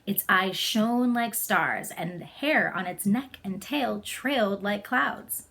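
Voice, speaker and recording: A woman's voice: medium pitch, slow pace, gravelly, fairly bright tone. A woman narrating a children's story as a read-aloud. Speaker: storybook reader.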